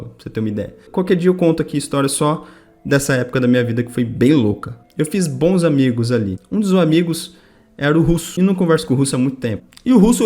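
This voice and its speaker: A man in his twenties with a São Paulo state Brazilian accent tells personal stories to viewers in a casual, slangy way, acting out bits of dialogue. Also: fairly high pitch. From Campinas, Brazil. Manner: informal, slangy, animated retelling with quoted dialogue. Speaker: video creator telling personal stories. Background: music.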